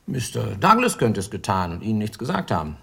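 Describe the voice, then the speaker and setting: An actor playing a shrewd, dogged police lieutenant in a radio crime drama, probing deliberately with dry irony. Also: male voice, fairly low pitch, big pitch swings, vocal fry, sharp tone, full character voice.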